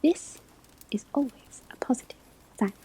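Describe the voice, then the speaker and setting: A woman playing a gentle witch-school divination teacher in an ASMR roleplay, whispering breathily as she gives measured instruction. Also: natural voice, low-quality recording. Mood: calm, instructive. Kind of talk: ASMR classroom roleplay lecture.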